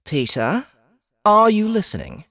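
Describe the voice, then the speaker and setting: A patient otherworldly woman, acted out by a male audiobook narrator, guides with formal, measured explanations. Her pitch moves in lively swoops through a fantasy story. The sound is dry and close, and she carries a faint edge of judgment. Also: creaky, dry recording, heavily acted.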